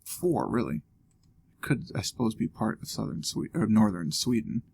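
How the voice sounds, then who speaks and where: A man talks through a guessing game, half to himself, musing and joking as he goes. His voice is gravelly and his pitch rises and falls a lot, with a casual, chatty let's-play delivery. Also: huge pitch swings, moderate pace, a little mellow. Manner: thinking aloud, musing and joking. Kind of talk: casual gameplay commentary.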